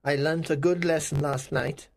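A man reading aloud and voicing a grumpy old miser who sounds shaken and pleading, with a gravelly voice. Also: a little high, fairly even intonation, normal speed, a little mellow, pitched above the performer's own voice. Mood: wary.

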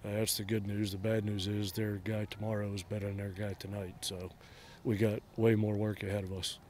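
A man's voice: on the low side, level tone, fairly quick, darkish tone, slight background noise. A middle-aged man, a head baseball coach, giving short blunt answers in a postgame interview outdoors at a ballpark at night. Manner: blunt, terse, matter-of-fact answers.

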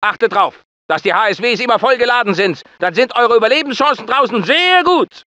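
An actor playing a gruff, bullying military drill sergeant in a video game, barking commands and insults in clipped orders. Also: male voice, very high voice, lively intonation, a little fast, bright, forceful tone, no room sound, dull audio, exaggerated voice. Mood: stern, instructive. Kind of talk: German video game dubbing.

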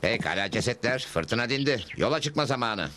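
A man's voice: mid-range voice, lively intonation, moderate pace, music in the background, lightly acted. A man playing a confident, wry cowboy hero in a dubbed western cartoon. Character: calm, wry cowboy hero lawman, male. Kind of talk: animated cartoon dubbing.

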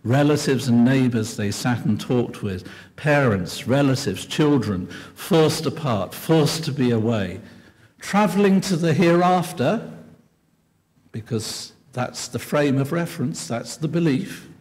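Older man, slow earnest keynote lecture, podium mic, long hall reverb. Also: mid-range voice, animated, darkish tone. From England. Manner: earnest, measured, gesturing at a podium. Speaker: keynote speaker. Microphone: podium microphone.

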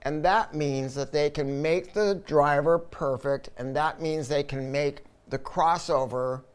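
A man's voice: fairly high pitch, unhurried, clean tone. A man presenting a product review, explaining technical details in a casual, emphatic, conversational way.

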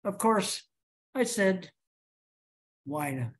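This is a man, speaking slowly and deliberately as he tells his story. He addresses his club over a video call. He builds each point with rhetorical questions. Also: high-pitched, animated, slight background noise. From Chicago, USA. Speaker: club officer giving a speech. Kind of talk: prepared speech to a club.

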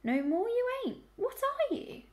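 Hoarse, grumbling old country ewe. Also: female voice, extremely high pitch, very animated, measured pace, a little mellow, voice pushed up, full character voice. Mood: gruff, puzzled. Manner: grumbling rustic dialect, complaining. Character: hoarse, complaining, lame old ewe, sour and mournful.

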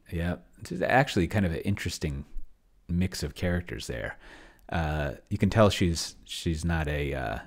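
Very deep, gravelly man thinking aloud. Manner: rambling, muttering asides to himself. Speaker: host of a solo roleplaying demo stream. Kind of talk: casual screen-share walkthrough, thinking aloud. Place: indoors at a desk, on webcam.

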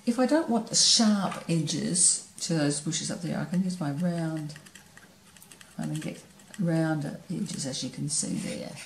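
Low-voiced woman demonstrating painting. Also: unhurried, roomy sound, slight background noise, rough recording. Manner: relaxed, thinking aloud while painting. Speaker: painting teacher. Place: studio table, camera overhead.

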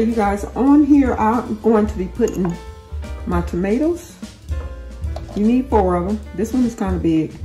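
A woman talks viewers through a recipe in her home kitchen. Her voice sits at an ordinary middle pitch, and her tone is relaxed and chatty, with plenty of self-corrections. Faint music plays underneath. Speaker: cooking channel host. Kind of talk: casual cooking tutorial.

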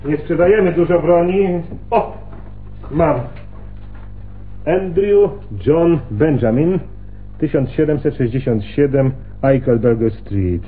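An actor playing an obliging, talkative pawnshop owner in a radio crime drama, chatty and helpful. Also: male voice, fairly high pitch, slow pace, long pauses, a little hiss or hum. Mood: helpful, busy. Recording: muffled radio recording, faint ambience.

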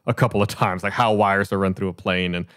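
Fast-talking American man, low-pitched. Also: fairly even intonation, fairly bright tone, smooth, no echo, studio quality. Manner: matter-of-fact, explanatory. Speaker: podcast host. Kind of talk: reading out and explaining a report on a podcast.

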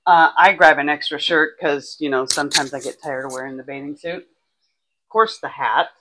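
A woman's voice: low-pitched, normal speed. A woman in her sixties chatting casually and listing items for a vlog, recorded indoors on a clip-on mic with some background noise. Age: 60s. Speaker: vlog co-host. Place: indoors in a small home kitchen and bedroom. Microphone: clip-on lapel mic.

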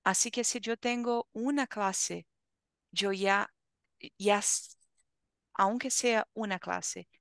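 Young woman, language teacher, headset video call, smooth voice, dry close sound. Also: mid-range voice, fairly bright tone, no echo. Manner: slow, careful, encouraging teacher talk. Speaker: Spanish teacher. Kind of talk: online language lesson chat.

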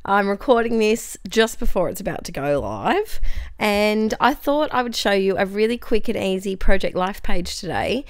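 A young Australian woman with a hoarse, croaky voice, chatting casually over her scrapbooking video with lots of fillers. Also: mid-range voice, expressive, normal speed, no room sound. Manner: chatty, friendly, tangents and fillers. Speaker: scrapbooking channel host. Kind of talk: voice-over for a craft process video. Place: home craft desk.

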